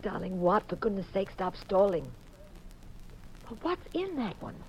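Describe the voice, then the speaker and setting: An actress playing an anxious, inquisitive detective's wife, urgent and questioning, in a 1950s radio drama. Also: female voice, mid-range voice, big pitch swings, unhurried, a little mellow. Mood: impatient, eager. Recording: muffled, hissy 1950s radio recording.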